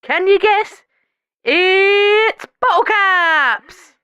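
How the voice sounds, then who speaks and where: Casting: high, bright, earnest woman, played by an actress, deliberate then excitable, radio comedy. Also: no echo, lo-fi sound, exaggerated voice. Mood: earnest, excited.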